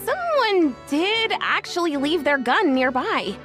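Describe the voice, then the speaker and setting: Chatty young game girl, bit bashful, high lilting voice, faint music behind. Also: sing-song, takes it slowly, a bit bright, heavily acted. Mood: earnest. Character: chatty, modest young female event staffer. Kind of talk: video game voice acting.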